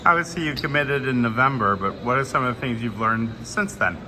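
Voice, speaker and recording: A man interviewing a basketball recruit, asking brisk, direct questions. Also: medium pitch, background noise.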